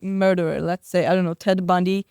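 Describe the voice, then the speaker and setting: A woman's voice: fairly low pitch, level tone, brisk, gravelly, a little mellow, clean tone, dry recording, clean studio sound. A teenage girl, fifteen, as an interview guest, arguing outspokenly with emphatic rhetorical questions. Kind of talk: conversational interview, impassioned argument.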